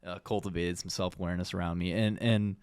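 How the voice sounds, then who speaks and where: A young man with a lowish voice chats easily on a podcast and agrees often. His voice is recorded close and dry, with no room sound. He tells his stories in a relaxed way. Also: fast, smooth, no echo. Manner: easygoing, agreeable, with many yeahs. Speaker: podcast co-host. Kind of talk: casual conversation.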